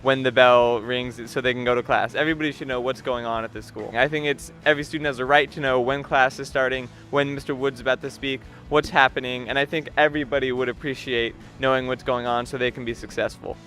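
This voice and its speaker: A man's voice: medium pitch, steady tone, fast, few pauses, pushed and bright, faint music behind. A teenage male student giving an emphatic, repetitive answer in a vox pop interview.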